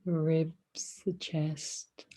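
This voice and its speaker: A woman leading a guided meditation as a teacher, speaking softly and soothingly in short phrases with long pauses between them. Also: sits low, little pitch movement. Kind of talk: guided meditation, body scan.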